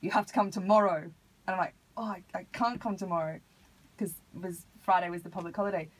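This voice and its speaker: Female radio guest, Australian, hip hop artist. Slightly low, husky delivery, conversational. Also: steady tone, fast talker, low-quality recording. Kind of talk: casual radio interview chat. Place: radio studio.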